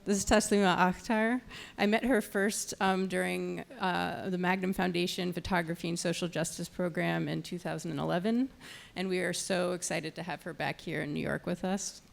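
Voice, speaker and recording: Female event host, lowish pitch with heavy vocal fry, semi-scripted introductions, occasionally tripping over words. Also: fairly even intonation. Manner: halting, stumbles over words. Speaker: nonprofit programs director introducing panel speakers.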